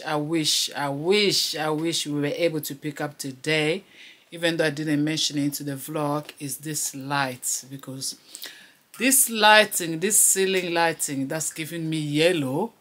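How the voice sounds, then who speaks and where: A woman's voice: deep voice, fairly even intonation, unhurried, fairly bright tone. A woman in her thirties, originally from DR Congo, chatting casually to camera in a vlog from a small room at home, often repeating her phrases and laughing between lines. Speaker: vlogger. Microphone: camera close to the face.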